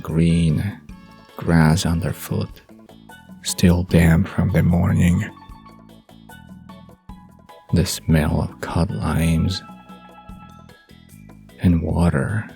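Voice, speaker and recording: A man's voice: low voice, vocal fry, warm tone, exaggerated voice. A man playing an amused, teasing young man with a laid-back manner in a romantic audio drama. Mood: relaxed, dreamy.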